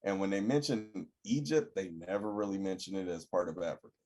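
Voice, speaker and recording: A man's voice: low-pitched, fairly quick. A young man co-hosting a podcast, chatting casually and acting out the people in his stories. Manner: animated storyteller, acts out quoted people. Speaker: podcast co-host. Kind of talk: casual chat.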